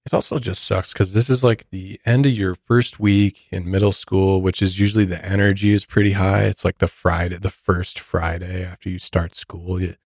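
A man with a notably low voice, recorded dry and without any room sound. He talks casually and reflectively as a podcast co-host, reacting with sympathy to the story being told. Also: little pitch movement, moderate pace, few pauses, darkish tone, no room sound. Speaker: true crime podcast co-host.

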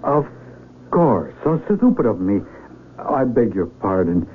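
An actor playing a polite, bewildered young mountaineer in a radio drama, earnest and a little hesitant. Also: male voice, on the low side, sing-song, slow. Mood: apologetic, earnest. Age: young adult. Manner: hesitant, polite, exclaiming in wonder. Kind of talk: 1970s radio drama acting. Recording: tinny, noisy 1970s AM radio recording.

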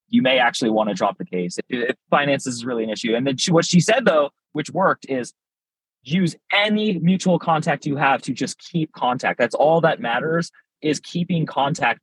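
A man's voice: mid-range voice, fairly bright tone, husky, no room sound, poor audio. A man appearing as a podcast guest, talking candidly in a rambling way, with frequent "like" fillers. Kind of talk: casual podcast interview.

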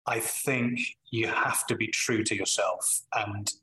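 A middle-aged man with a Manchester accent talks in a bright, forceful voice. He answers thoughtfully, pausing often and restarting his sentences as he works out what he wants to say. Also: on the low side, steady tone, a little hiss or hum, poor audio. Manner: thoughtful, with frequent pauses and restarts. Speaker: business leader guest. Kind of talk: reflective interview answers.

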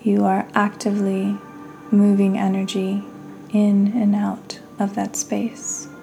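Slow, very even-toned woman guiding meditation. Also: mid-range voice, vocal fry, soft background music. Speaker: podcast host and meditation guide. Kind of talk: guided meditation.

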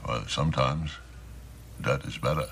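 An actor playing a grave old man in a film, giving slow, ominous warnings in a gravelly voice. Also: a bass voice, fairly bright tone, slight background noise, full character voice. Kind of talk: film drama.

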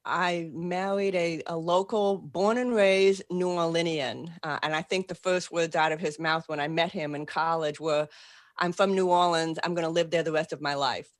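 A woman's voice: fairly low pitch, steady tone, normal speed, dry recording, studio quality. A woman in her sixties with a Boston accent, an author telling her story in an interview in a plain, matter-of-fact way. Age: 60s. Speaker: author guest. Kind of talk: conversational interview answers.

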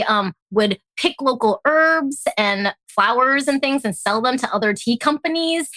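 A woman with an American accent, chatting casually as an interview guest and telling stories in an animated way. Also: a little high, no echo. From born in Hong Kong, grew up in Alaska. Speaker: guest, tea company founder.

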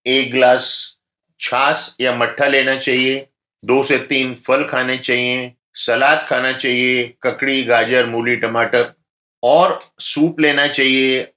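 A man speaking as a doctor, giving calm, instructive health advice in an interview. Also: medium pitch, reverberant.

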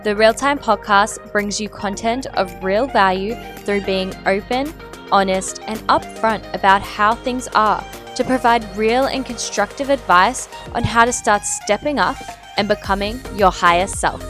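A woman's voice: mid-range voice, measured pace, fairly bright tone, faint music behind. A woman hosting an interview podcast, speaking in an upbeat, enthusiastic way. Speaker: podcast host.

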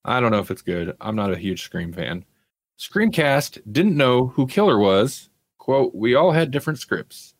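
A man's voice: mid-range voice, sing-song, measured pace, no echo. A man hosting a casual pop culture talk show in a wry, conversational way. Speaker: podcast host.

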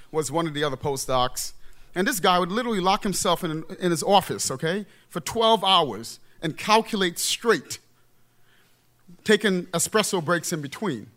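A man's voice: fairly high pitch, fairly bright tone. Chatty man telling a story on stage. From Trinidad, raised in the Bronx, New York. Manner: conversational, lots of fillers and asides. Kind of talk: live personal storytelling on stage.

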